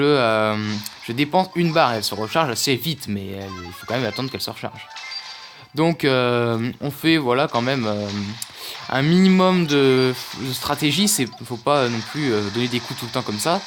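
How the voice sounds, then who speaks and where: A man's voice: medium pitch, expressive, normal speed. A man casually commenting on a mobile game as he plays, hesitant, with lots of fillers and restarts. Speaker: gaming youtuber. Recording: music playing behind. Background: game music.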